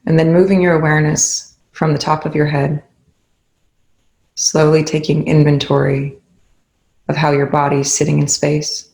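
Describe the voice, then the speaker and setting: A meditation instructor leading a guided session in a soothing, gentle, unhurried way with long pauses. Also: medium pitch, flat delivery, creaky, echoey room, low-quality recording.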